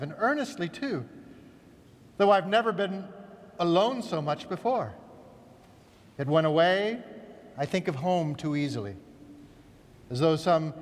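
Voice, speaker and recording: A man giving a solemn, measured, declamatory recitation of a literary verse play. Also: high-pitched. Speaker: reader. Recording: long hall reverb, echoey large space.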